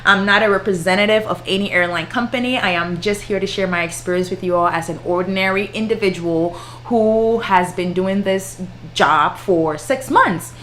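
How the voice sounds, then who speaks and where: A woman's voice: medium pitch, moderate pace, fairly bright tone, a little hiss or hum. A woman, chatting casually with viewers on a livestream and laughing often. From Ghana. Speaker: flight attendant and YouTuber.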